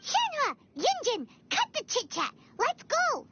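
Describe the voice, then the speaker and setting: A tiny, excitable fairy sidekick from a video game, squeaks out urgent exclamations. The voice is extremely high and childlike, leaping up and down. The sound is dull and lo-fi with noise behind it. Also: female voice, unhurried, over music, heavily acted. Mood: bossy, excited. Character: tiny bossy excitable floating fairy companion.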